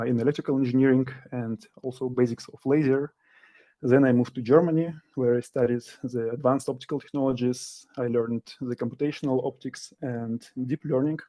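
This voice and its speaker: A man's voice: mid-range voice, dark tone. A young man from Kazakhstan giving a seminar talk over a video call, speaking carefully with some hesitations. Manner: careful, slightly halting delivery with fillers. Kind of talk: academic presentation. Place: indoor room.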